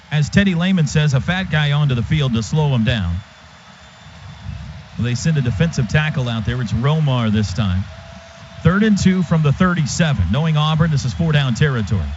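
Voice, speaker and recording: A man's voice: a little high, a little mellow. An American man doing live football play-by-play on the radio, following the action in clipped, vivid phrases. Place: stadium radio booth. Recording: dull, noisy live radio broadcast.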